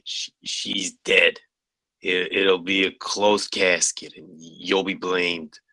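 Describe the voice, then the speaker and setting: An actor playing a lazy, jaded campus security guard in a comedy table read, deadpan and offhand, with a gravelly voice. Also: male voice, sits low, slow, dry recording, exaggerated voice, rough recording. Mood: dry. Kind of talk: comedy script read aloud.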